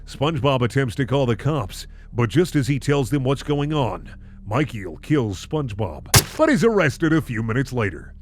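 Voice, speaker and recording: A man's voice: mid-range voice, big pitch swings, moderate pace, background noise. A man hosting a comedic reading of bad fan fiction, narrating with incredulous, sardonic asides. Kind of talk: comedic narration with sarcastic commentary.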